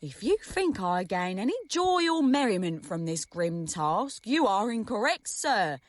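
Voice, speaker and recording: A woman's voice: mid-range voice, very animated, measured pace, no room sound. A woman playing a weary, chatty station master and doting parent in a comic radio play. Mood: defensive, fond.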